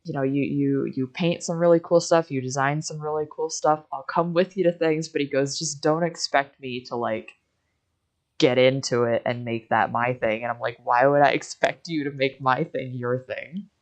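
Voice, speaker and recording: A young woman talks casually, as if to a friend on a livestream. She tells stories in an animated, conversational way, slipping into quotes of what people said. Her pitch sits in the middle for a woman or a little below. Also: normal speed. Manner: animated storytelling, quoting conversations.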